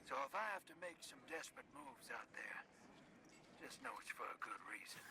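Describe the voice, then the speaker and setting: A man's voice: medium pitch, expressive, moderate pace, whispered, lightly acted. A man playing a folksy, roguish drifter in a video game, speaking in a weary, confiding, colloquial way. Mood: confiding, grave. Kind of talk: scripted video game monologue.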